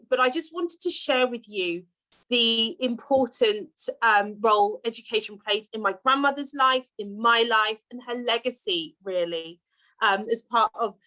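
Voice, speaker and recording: A woman's voice: on the high side, sharp tone, poor audio. A woman with an English accent, a deputy head teacher, giving an earnest conference talk over a video call, with many fillers and repeated words.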